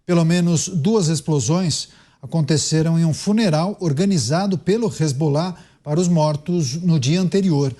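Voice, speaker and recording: A man's voice: on the high side, moderate pace, warm tone. A Brazilian man hosting a news show, reading reports and moderating a panel.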